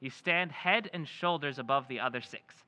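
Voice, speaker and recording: A man's voice: medium pitch, moderate pace, sharp tone, smooth. A man playing a mysterious male stranger in an old-time radio play, speaking firmly and precisely. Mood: solemn. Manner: firm, precise, clipped.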